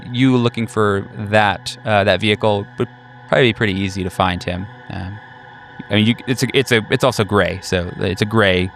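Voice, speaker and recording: A man's voice: on the low side, moderate pace, creaky, a bit bright, soft background music. A man improvising narration as game master, casual and hesitant, rephrasing as he goes.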